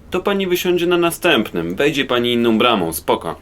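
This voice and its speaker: A man's voice: mid-range voice, big pitch swings, fairly quick, a bit bright. A man acting a wistful, helpful young man who talks casually in a radio play. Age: young adult. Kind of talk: radio drama monologue.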